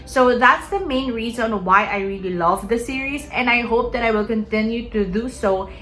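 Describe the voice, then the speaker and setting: Young woman from the Philippines, native Tagalog speaker, bright eager booktuber, chatty and casual. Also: fairly high pitch, normal speed, a little hiss or hum. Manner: chatty, eager, filler-heavy. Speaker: book vlogger. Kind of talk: casual reading-list vlog.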